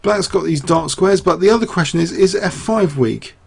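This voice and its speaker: A man's voice: sits high, normal speed, vocal fry, husky. A man analyzing a chess game aloud, losing his voice, rambling through rhetorical questions with many fillers. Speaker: chess commentator.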